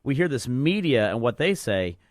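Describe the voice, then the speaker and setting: A man's voice: medium pitch, very animated, no echo, clean studio sound. A man hosting a business talk radio interview, chatty and conversational, often restarting his phrases. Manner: rapid, conversational, repeats words when restarting. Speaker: guest radio host.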